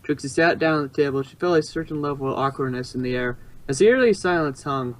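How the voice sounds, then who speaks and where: A man's voice: a little high, fast. A man reading fan fiction aloud as an amateur narrator, sometimes stumbling and rereading phrases.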